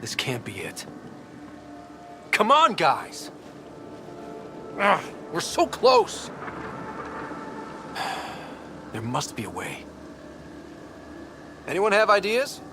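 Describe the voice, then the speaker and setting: Lively young space team leader. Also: male voice, high-pitched, slow pace, creaky, long pauses, a little hiss or hum, full character voice. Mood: urgent. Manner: urgent, commanding, earnest. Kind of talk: video game cutscene acting.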